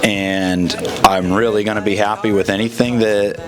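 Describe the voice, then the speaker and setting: A man's voice: on the low side, steady tone, normal speed, a crowd in the background. A man giving a casual, conversational interview answer. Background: background music.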